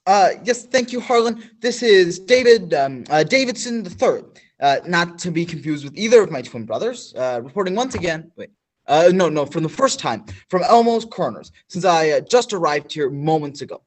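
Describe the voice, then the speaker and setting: A man playing a nervous male field reporter in a school radio play, halting and full of fillers. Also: sits high, big pitch swings. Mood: flustered.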